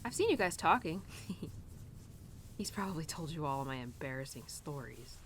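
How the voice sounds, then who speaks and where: A woman's voice: low-pitched, sing-song, normal speed, slight background noise. A young woman playing an earnest, rambling cowgirl who confides in her horse in an intimate roleplay audio, hesitant and giggly, with stammers and restarts. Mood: fond, wistful.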